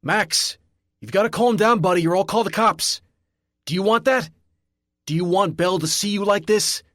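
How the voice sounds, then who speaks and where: A man voicing a worried male security guard who urges and pleads with his boss to calm down. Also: sits high, moderate pace, no room sound, clean studio sound, pitched above the performer's own voice. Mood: urgent. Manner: urgent, pleading.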